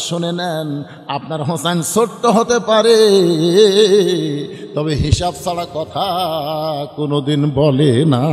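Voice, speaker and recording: High-pitched impassioned male preacher, heavy reverb. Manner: impassioned, theatrical, swinging between cries and lower speech. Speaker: Islamic preacher. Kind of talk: dramatic storytelling sermon with acted dialogue. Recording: echoey hall with long reverb.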